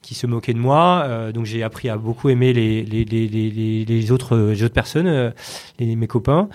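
A man's voice: medium pitch, darkish tone. A man in his late thirties with a Parisian French accent, answering interview questions in a hesitant, casual way with frequent repeated words. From Paris region, France. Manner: hesitant, with many word repetitions and filled pauses. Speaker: podcast guest, company chief executive.

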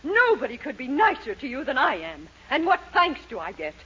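An actress playing a bossy, excitable middle-aged mother and landlady in a 1940s radio comedy, with an emphatic, fussy delivery. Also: high voice, brisk, breathy edge, exaggerated voice. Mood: indignant. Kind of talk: 1940s radio sitcom acting. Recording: muffled 1940s radio recording.

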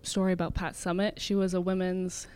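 A woman in her twenties, a swimmer being interviewed, talking casually with many fillers and quick laughs. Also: fairly low pitch, little pitch movement, darkish tone, clear, resonant tone. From Canada. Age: 20s. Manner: chatty, filler-heavy, laughs often. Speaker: guest, elite swimmer.

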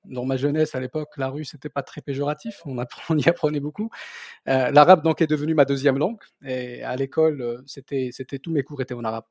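A man's voice: medium pitch, steady tone, fast, darkish tone, dry recording. Male guest, thirties, Moroccan, Berber mother tongue; hesitant conversational storytelling, close dry recording. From southern Morocco. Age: 30s. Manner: hesitant, repeating words as he searches for phrasing. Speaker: podcast guest, company founder. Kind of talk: casual autobiographical interview.

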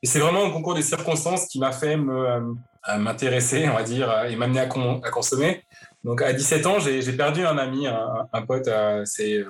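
A man in his forties, from Paris, answers interview questions about his life. He speaks in a halting way, with frequent pauses and restarts. His voice sits at an ordinary middle pitch. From Paris, France. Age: 40s. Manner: hesitant, many fillers and restarts. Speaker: guest. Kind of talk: interview, personal story.

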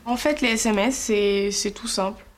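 A woman's voice: mid-range voice, steady tone, normal speed. A young woman interviewed for a TV report, explaining something step by step with some hesitation. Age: young adult.

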